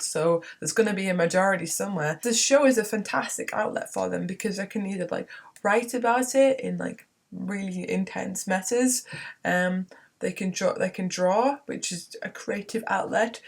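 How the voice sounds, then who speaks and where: A young woman, hoarse from a cold, ranting earnestly in a casual vlog from her bedroom, rambling with frequent restarts. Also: mid-range voice.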